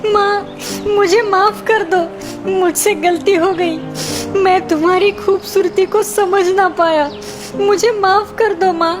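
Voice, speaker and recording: A woman's voice: very high voice, fairly even intonation, takes it slowly, over music. A woman voicing an emotional, petulant young boy in a children's cartoon, whiny and dramatic. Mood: pleading. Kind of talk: Hindi children's cartoon dialogue.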